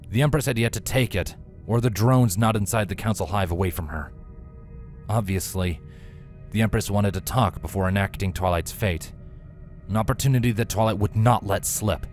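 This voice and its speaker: Man, low-pitched voice, solemn storyteller, measured dramatic narration, scripted fantasy reading, soft music underneath. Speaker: narrator, fan fiction reader.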